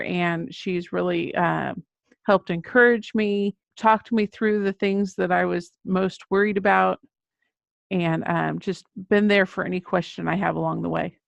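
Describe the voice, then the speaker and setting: A woman's voice: mid-range voice, vocal fry, no room sound, dull audio. A middle-aged American woman, a children's book author, talking about her writing as an interview guest. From Texas, United States.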